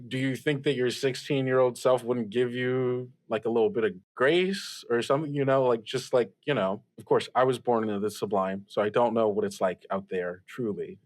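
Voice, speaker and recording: A man's voice: mid-range voice, normal speed, no room sound, natural voice. A man playing an awkward, sympathetic student radio host who stammers tentative reassurance in an improvised drama.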